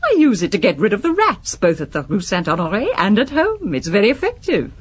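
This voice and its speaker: A woman's voice: medium pitch, wildly swooping pitch, fairly quick, husky. An actress playing a proud, self-possessed Parisian businesswoman in a radio drama, formal, guarded and defensive. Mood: startled, insistent.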